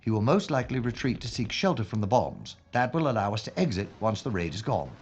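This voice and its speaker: A slightly muffled recording with faint noise carries a British police inspector of wartime London, played by a man. He is formal and droll, and his pitch moves freely as he weighs each point in period audio drama style. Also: sing-song, fast. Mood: wry, calculating. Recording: muffled, with noticeable background ambience.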